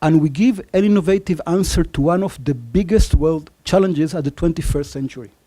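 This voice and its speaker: A man in his forties from Argentina with an Israeli and Spanish accent, pitching his startup on stage with many fillers and self-deprecating jokes. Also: brisk, mellow. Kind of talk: conference pitch.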